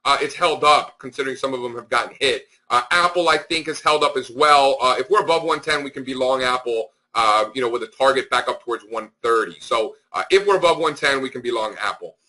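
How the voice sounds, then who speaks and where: A man's voice: mid-range voice, fairly quick, few pauses, pushed and bright, poor audio. A man speaking informally as a market analyst on a conference call, with an emphatic, opinionated, slangy way of talking. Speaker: stock market analyst hosting a members call.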